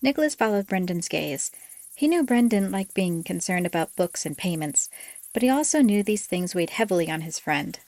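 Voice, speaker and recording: A woman's voice: on the low side, animated, normal speed, darkish tone, no room sound. A woman reading scripted story narration as the narrator of an audiobook drama.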